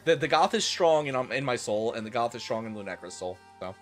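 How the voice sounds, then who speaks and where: A man's voice: mid-range voice, lively intonation, fast. A man livestreamer doing a theatrical, joking dramatic reading with casual, profane asides to his chat, over music. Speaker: livestreamer and host.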